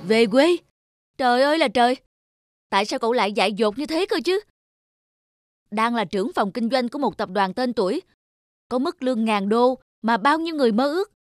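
A man playing an excitable, disapproving young male friend in a cartoon, exclaiming in an incredulous manner. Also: very high voice, fairly quick, long pauses, no echo, studio quality, faint music behind. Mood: shocked disbelief. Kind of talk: cartoon dubbing.

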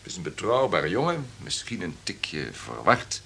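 An actor playing a domineering, calculating radio station boss in a Dutch radio drama, with a pompous, commanding manner. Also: male voice, low-pitched, wildly swooping pitch, moderate pace, sharp tone, a little hiss or hum, heavily acted. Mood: lecturing, sure. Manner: pompous, commanding, throat-clearing.

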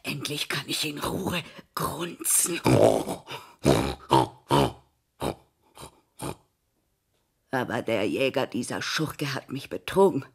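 Radio drama villainess: greedy pig-queen, very husky, slow and savouring. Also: very deep voice, animated, exaggerated voice. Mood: gloating, craving. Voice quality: hoarse, rough voice. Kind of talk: radio play acting.

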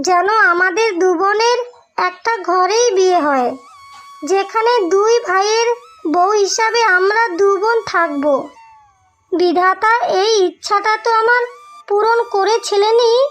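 A woman's voice: very high voice, a bit bright. A woman playing a devoted, forgiving young wife in a melodramatic cartoon, speaking tenderly and plaintively. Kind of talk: slow melodramatic cartoon dialogue. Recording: music under the voice.

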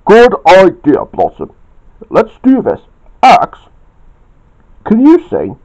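A man's voice: sits high, wildly swooping pitch, takes it slowly, darkish tone, voice pushed up, heavily acted, rough recording. A man voicing an indignant, bossy male friend in an amateur storybook telling. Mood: coaxing. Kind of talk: amateur storytelling with acted dialogue.

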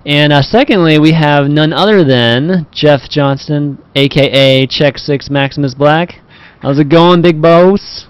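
A man's voice: a little high, a little slow, clean tone, no echo. A man hosting a casual panel talk over a video call, rambling and hedging as he goes. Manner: rambling, hedging with "you know". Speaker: talk show host. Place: indoor room on a video call. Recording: dull, muffled online call audio.

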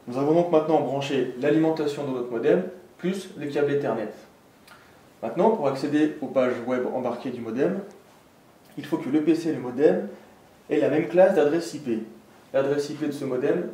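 A man's voice: mid-range voice, warm tone. A man presenting a technical tutorial step by step in a methodical, instructional manner. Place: indoor room with desk and green backdrop. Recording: echoey room, distant mic, faint noise.

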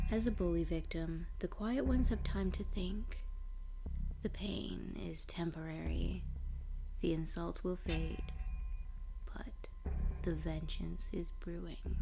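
A woman giving a slow dramatic horror narration as a quiet, vengeful bullied loner, hushed and half-whispered, with menace. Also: on the low side, vocal fry, noisy background. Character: quiet, vengeful bullied man confessing his nightmare. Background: music under the voice.

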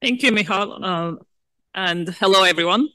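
Bright, measured woman panelist. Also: medium pitch, no room sound. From Turkey. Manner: measured, frequent "uh" fillers, occasional soft laughs. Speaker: panel speaker. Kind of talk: academic roundtable remarks. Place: large lecture hall.

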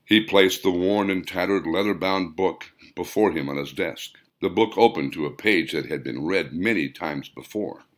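A man reading a story aloud as dramatic, theatrical audiobook narration, with deliberate delivery. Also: low voice.

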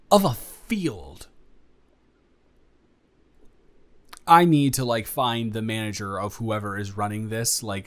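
A man playing an excitable, incredulous young influencer friend in an improvised audio roleplay, rambling emphatically to one listener. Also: on the low side, voice pushed down. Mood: awed, excited. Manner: rambling, emphatic, halting, with dropped asides.

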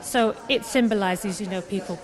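A young woman from Scotland talks at an even middle pitch, eager and chatty, often pausing on ums and restarts. Her voice sits close on a handheld microphone in a busy pub. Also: some chatter behind, low-quality recording. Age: young adult. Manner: chatty, enthusiastic, many fillers. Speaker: artist guest. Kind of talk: live radio interview. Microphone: handheld mic held by host.

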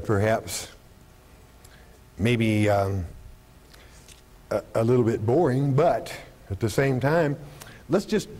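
Older man pastor on a lapel mic, warm, low-key tone, animated Bible teacher. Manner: animated, gestures constantly while teaching; poses rhetorical questions and answers them. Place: studio stage with podium and curtain.

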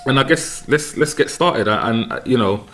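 A man in his forties from London, hosting a casual podcast interview from his home kitchen on a desk mic, halting with many ums and repeated words. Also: mid-range voice, animated, a bit bright, soft background music, rough recording. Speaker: podcast host. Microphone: desk mic on a boom arm.

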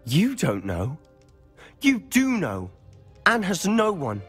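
A man's voice: sits high, huge pitch swings, takes it slowly, fairly bright tone, breathy edge, soft background music, exaggerated voice. A young man with a British accent playing a guilt-stricken, pleading teenage wizard student in a dramatic video game. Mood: remorseful, pleading. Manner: agitated, pleading.

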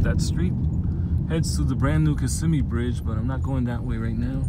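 A man giving an unscripted driving tour from inside a car, pointing out passing sights in short phrases. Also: mid-range voice. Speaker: vlogger narrating a drive. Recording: loud car and road noise. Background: car engine and traffic noise.

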